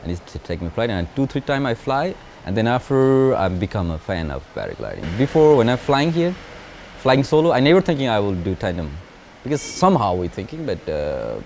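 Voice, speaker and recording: A man from Nepal with a Nepali accent, a paragliding pilot telling his story in an interview in halting English full of restarts. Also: mid-range voice, sing-song, darkish tone, slight background noise. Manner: halting non-native English with many restarts. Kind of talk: interview, recounting his life.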